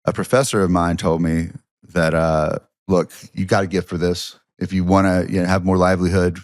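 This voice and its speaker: Southern guy, low voice, middle-aged, rambly self-mocking stories, restarts a lot. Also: level tone, moderate pace, dry recording, studio quality. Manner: self-deprecating storyteller, frequent restarts. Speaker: guest, history professor and comedian. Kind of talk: casual podcast chat.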